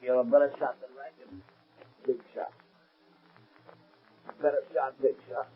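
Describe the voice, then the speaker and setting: A man's voice: mid-range voice, flat delivery, unhurried, breathy edge. An actor playing a jittery, vengeful American gangster henchman with slangy tough-guy talk in an old radio crime drama. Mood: wounded, bitter. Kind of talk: 1930s-40s radio crime drama acting. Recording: muffled tinny old radio recording.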